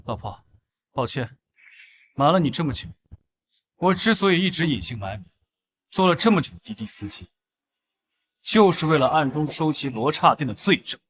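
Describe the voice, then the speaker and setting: A man's voice: a little high, big pitch swings, brisk, long pauses, fairly bright tone. A man acting a confident young hero, a secret war lord in a melodrama, with a self-assured delivery. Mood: earnest confession. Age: young adult. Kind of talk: melodramatic short-drama acting.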